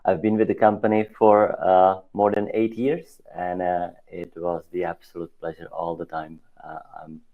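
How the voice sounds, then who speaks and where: Low-voiced man on a phone-like line. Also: flat delivery, normal speed, hardly any pauses. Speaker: guest, HR lead. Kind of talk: halting self-introduction on a video call. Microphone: video call. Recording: thin, phone-like call audio.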